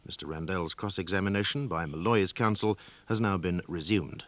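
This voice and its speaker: An actor voicing a sober, formal announcer who gives measured, factual narration for a courtroom drama. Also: male voice, low voice, a bit bright, no room sound.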